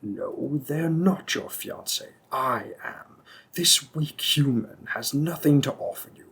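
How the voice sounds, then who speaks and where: Guy doing a possessive demon king, gravelly breathy whisper, talks real slow. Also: on the high side, heavily acted. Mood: insistent. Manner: soft, often whispered, slow and teasing. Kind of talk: audio roleplay.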